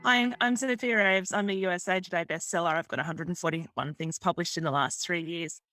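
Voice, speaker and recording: A woman's voice: lowish voice, brisk, bright, forceful tone, faint music behind. A woman with an Australian accent, an author who reads her fiction and talks as a guest. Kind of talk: reading own fiction aloud and chatting.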